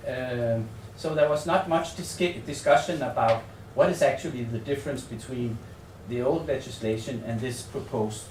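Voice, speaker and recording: A man from Denmark with a Danish accent presents at a seminar as a researcher, speaking English as a second language in a halting, tentative way, thinking aloud and repeating words. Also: mid-range voice, a little mellow. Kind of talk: semi-improvised conference presentation. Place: seminar room in a hotel. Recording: echoey room, distant mic, faint noise.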